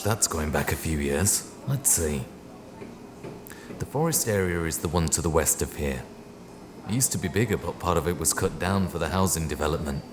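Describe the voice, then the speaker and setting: An actor playing an obliging, gently teasing middle-aged local man in an audio drama. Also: deep voice, lightly acted. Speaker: local interviewee. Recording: noisy background ambience.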